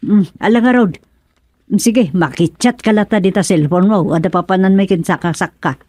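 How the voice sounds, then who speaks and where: Breezy older village woman, played by actress, Ilocano accent, medium pitch, casual interjections, radio comedy. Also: normal speed, warm tone, no room sound, natural voice.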